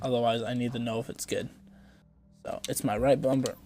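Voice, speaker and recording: A man's voice: medium pitch, little pitch movement, fairly quick, mellow, slight background noise. A young man with a congested, stuffy voice, casually commenting on a video game as he plays, rambling and thinking aloud with some swearing. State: congested from a cold. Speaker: gameplay streamer.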